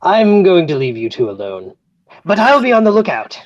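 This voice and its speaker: A man's voice: high voice, huge pitch swings, normal speed, no room sound, lo-fi sound. A man playing a scheming confidant in a play table read, speaking conspiratorially. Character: scheming male confidant leaving lovers alone.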